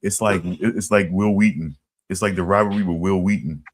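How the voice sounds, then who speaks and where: A man's voice: sits low, fairly even intonation, normal speed. A man in casual chat, cutting in hesitantly to tease a friend.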